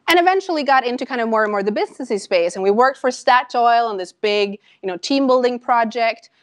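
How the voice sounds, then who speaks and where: Norwegian woman in her 30s giving a chatty lecture, bit higher voice, lots of ums. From Norway. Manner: animated, chatty, frequent ums and asides. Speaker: startup founder giving a lecture. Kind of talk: conversational public talk. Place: lecture room with an audience.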